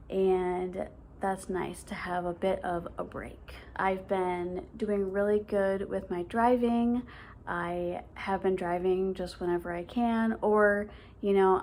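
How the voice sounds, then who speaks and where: A woman's voice: medium pitch, a little hiss or hum. Chatty late-20s woman with a slight lisp. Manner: speaks with a stutter; chatty, filler-heavy, rambling. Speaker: vlogger. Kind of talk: casual chat to camera.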